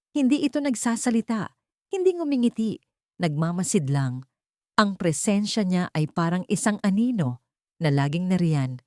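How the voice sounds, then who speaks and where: A woman's voice: fairly low pitch, darkish tone, no room sound, studio quality. A woman narrating a scripted dramatic story as an audiobook storyteller.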